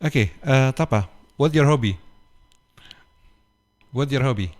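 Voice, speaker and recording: A man hosting a radio interview in halting English. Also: medium pitch, animated. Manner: halting, broken phrasing. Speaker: radio host.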